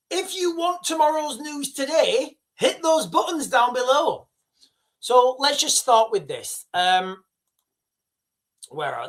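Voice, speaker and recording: A middle-aged man hosting a casual news livestream, talking straight to his viewers with many restarts and asides. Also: sits high. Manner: excitable, addresses viewers directly, rambling with restarts.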